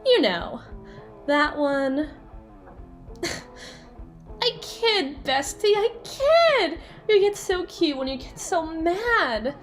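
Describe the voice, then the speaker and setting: Woman voicing a flirty jealous yandere bestie for asmr roleplay, high voice that swings all over, sassy n teasing. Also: sing-song, faint music behind, heavily acted. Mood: mock-threatening, flirty. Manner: teasing, sassy, theatrical, drawn-out emphasis. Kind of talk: ASMR roleplay monologue addressed to the listener.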